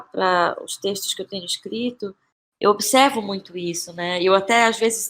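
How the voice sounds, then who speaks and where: Brazilian woman, thoughtful and halting. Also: medium pitch, fairly bright tone. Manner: thoughtful, halting, searching for words. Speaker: guest playwright and actress. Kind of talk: reflective interview conversation.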